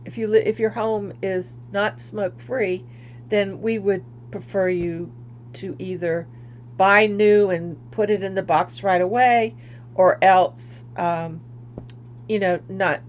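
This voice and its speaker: An older woman from Florida, chatting casually on her craft livestream, rambling and laughing at herself, often trailing off quietly. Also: mid-range voice, steady tone, takes it slowly, highs cut off. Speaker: craft vlogger.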